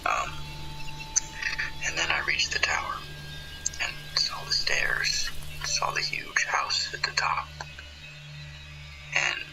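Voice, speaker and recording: A man playing a haunted fire lookout in a radio horror drama, recounting events in a halting, breathy, confessional monologue. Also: exaggerated voice, rough recording. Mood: shaken, horrified. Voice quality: low, gravelly, breathy. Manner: halting, breathy, half-whispered. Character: haunted male fire lookout recounting his wife's eerie death.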